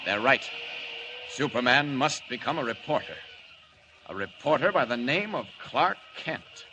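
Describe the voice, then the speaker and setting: An actor playing a noble, earnest alien superhero with formal, declamatory delivery in a 1940s radio drama. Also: male voice, medium pitch, lively intonation, unhurried, sharp tone, a little hiss or hum. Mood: grave, earnest. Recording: vintage 1940s radio recording.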